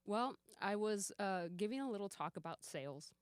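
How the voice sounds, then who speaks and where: A woman in her forties chatting casually on a podcast, an animated storyteller who swears offhandedly and acts out dialogue. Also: mid-range voice, moderate pace, dry recording, studio quality. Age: 40s. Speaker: podcast guest. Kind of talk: casual chat.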